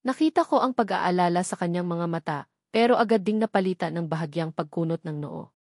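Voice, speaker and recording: A woman's voice: lowish voice, a little fast, darkish tone, no room sound, clean studio sound. A woman narrating a scripted first-person love drama as a storyteller.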